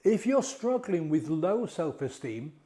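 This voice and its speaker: Croaky man with a mellow voice. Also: on the high side, sing-song, a little slow. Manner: conversational, asks rhetorical questions, repeats and restarts words. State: croaky from a cold. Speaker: mindset coach and hypnotist. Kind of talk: casual coaching talk to camera.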